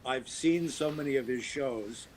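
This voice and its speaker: A man's voice: medium pitch, unhurried, slight background noise. A man guesting on a podcast, talking in a chatty, digressive way with false starts. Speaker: guest actor and writer. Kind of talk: casual podcast conversation.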